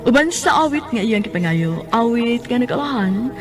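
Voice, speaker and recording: A woman's voice: fairly high pitch, lively intonation, moderate pace. A woman with a Cebuano accent reading a scripted radio drama announcement. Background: music.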